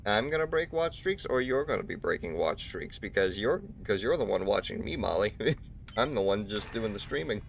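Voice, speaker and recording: A man hosting a live game stream while sick, a little raspy, talking casually and courteously with his viewers and chuckling often. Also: fairly low pitch, lively intonation, moderate pace, a little hiss or hum. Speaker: livestream host. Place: at home.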